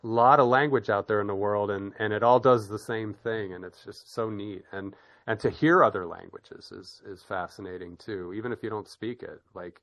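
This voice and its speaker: A middle-aged man hosts a relaxed conversation in a fairly low voice. He rambles, stumbles over repeated words and restarts, and laughs easily as he talks. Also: moderate pace. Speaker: podcast host. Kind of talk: casual chat.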